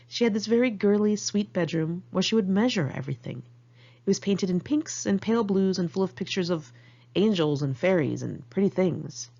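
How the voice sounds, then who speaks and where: An American woman reads her own memoir as an informal audiobook, with a candid, wry, expressive manner. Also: lowish voice, gravelly, husky, muffled recording. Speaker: author reading own memoir.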